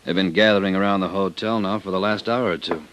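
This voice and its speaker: Casting: low-voiced inspector, cautious, steady, understated, for old-time radio drama. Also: male voice, fast talker, slight background noise. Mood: wary. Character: steady, cautious male chief inspector, detective's ally. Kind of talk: 1950s radio drama acting. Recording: vintage radio drama recording.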